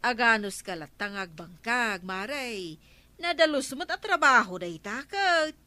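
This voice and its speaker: Plain-speaking village woman, Ilocano accent, bright and rather high, radio comedy drama. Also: expressive, a little slow, no room sound. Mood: advising.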